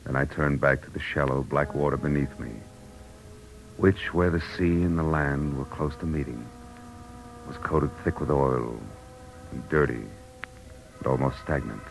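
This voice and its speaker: A man's voice: rumbling low, steady tone, measured pace, vocal fry, pauses often, heavily acted, poor audio. A man with an American accent playing a hard-boiled, reflective private detective in a 1940s radio drama, telling it in a clipped, world-weary way. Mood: somber, reflective. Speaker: lead actor and narrator. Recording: vintage radio recording with music.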